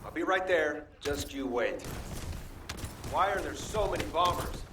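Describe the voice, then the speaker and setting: Game hero: young, cocky fire chef, nonchalant and wry, recorded roomy and distant. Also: male voice, on the high side, animated, measured pace. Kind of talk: video game character acting. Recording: echoey, distant game audio, faint noise.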